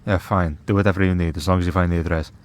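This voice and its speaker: A man's voice: sits low, fairly even intonation, racing, close to an everyday voice. A man playing a wry, teasing vampire in a priest's collar for an ASMR roleplay, in a sardonic, conversational way. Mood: wry, exasperated.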